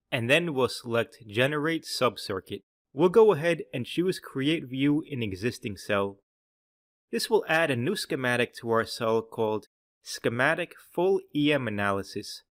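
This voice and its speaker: A man presenting a scripted software tutorial as an applications engineer, speaking in a measured, instructional way. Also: mid-range voice.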